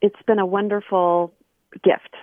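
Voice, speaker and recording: A woman's voice: medium pitch, flat delivery, measured pace, no room sound. Woman on a tinny phone line. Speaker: interview guest, scholar. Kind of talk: casual conversational interview. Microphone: telephone call.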